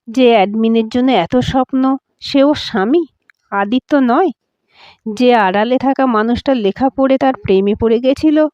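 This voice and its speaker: A woman narrates a story in a fairly high voice. Her delivery is scripted, emotive and dramatic. The recording is dry and close, a little dull in its sound. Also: darkish tone, no room sound.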